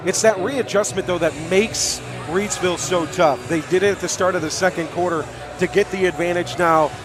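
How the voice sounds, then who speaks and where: A man calling live high school basketball as a play-by-play announcer, upbeat and chatty, projecting his voice from the gym. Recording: live courtside gym broadcast.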